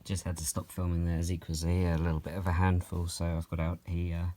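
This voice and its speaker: A young man from Norway, answering viewer questions about his reptiles at home in a calm, unhurried way, with many ums and soft laughs. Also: low voice, slight background noise. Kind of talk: relaxed Q&A vlog. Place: at home, handling snakes while filming.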